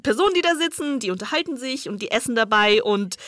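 A woman speaking as an expert guest in a casual chat, explaining at length with frequent filler pauses. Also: on the high side, animated, fairly bright tone, dry recording.

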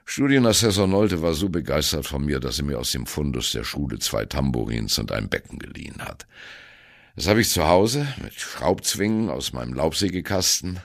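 A man playing a wry, embittered old German jazz drummer telling his life story in a radio play, with a gravelly, husky voice and a sardonic, conversational manner. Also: a bass voice, a bit bright, dry recording, clean studio sound, exaggerated voice. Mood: wry reminiscence. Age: older. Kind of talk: dramatic first-person radio play monologue.

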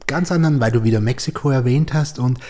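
Husky man hosting a casual conversation. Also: medium pitch, fast, darkish tone. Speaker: podcast host.

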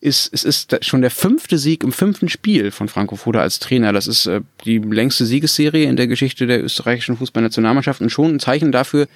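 A man's voice: medium pitch, animated, brisk, few pauses, no room sound, studio quality. A man with a Standard German accent from Germany, hosting a conversational podcast with discussion and personal anecdotes. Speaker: podcast host.

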